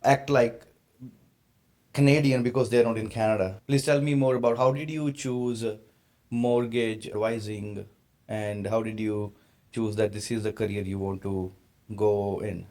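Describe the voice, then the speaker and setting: An earnest man from India in his late twenties, hosting a podcast in a fairly low voice. He asks his questions carefully, with searching, slightly non-native phrasing. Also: steady tone, a little slow, a little mellow. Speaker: podcast host. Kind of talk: casual interview conversation.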